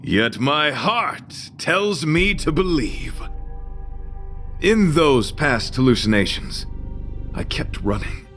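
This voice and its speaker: An actor voicing a noble, courtly knight devoted to beauty, speaking formal, florid lines earnestly in a theatrical video game style. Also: male voice, on the high side, very animated, takes it slowly, a bit bright, faint music behind, full character voice. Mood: solemn reverie.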